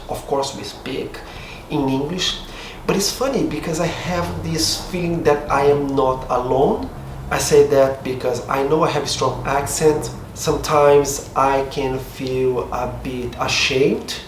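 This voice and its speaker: A middle-aged man from Brazil with a Portuguese accent and a slightly hoarse voice, chatting upbeat to camera at home. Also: mid-range voice, a little slow. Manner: chatty, upbeat, self-deprecating. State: a bit hoarse. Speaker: vlogger. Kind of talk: casual talk to camera. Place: home room with outside noise. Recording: roomy echo, distant mic, faint street noise.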